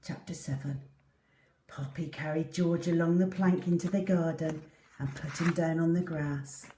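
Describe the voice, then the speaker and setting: A woman reading a children's storybook aloud in an animated, dramatic way. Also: deep voice, normal speed, distant mic. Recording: echoey room.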